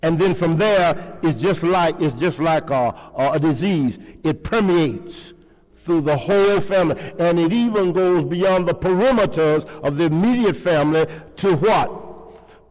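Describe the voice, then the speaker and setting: Older pastor preaching slowly in a church. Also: male voice, a little high, a little mellow. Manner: preaching with call-and-response questions to the congregation. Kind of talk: sermon. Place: large church sanctuary, indoors. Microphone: pulpit microphone. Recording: long reverb of a church hall.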